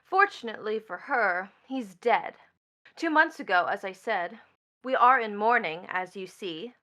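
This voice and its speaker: A woman's voice: mid-range voice, animated, measured pace, pushed and bright. A woman playing a bitter, mocking young stepdaughter in a stage drama, with a taunting, theatrical delivery. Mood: cold. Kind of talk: theatrical stage play acting.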